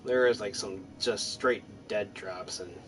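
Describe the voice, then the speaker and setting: A man's voice: fairly low pitch, unhurried, soft background music, rough recording. A man giving casual, rambling, self-deprecating commentary while playing a video game. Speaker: gaming streamer. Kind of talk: casual let's-play commentary.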